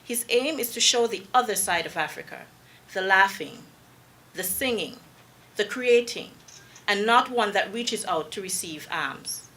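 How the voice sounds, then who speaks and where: A woman reading a prepared, formal introduction of a lecturer, measured and trailing off at the ends of her lines. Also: medium pitch, lively intonation, bright, forceful tone. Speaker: presenter introducing the speaker.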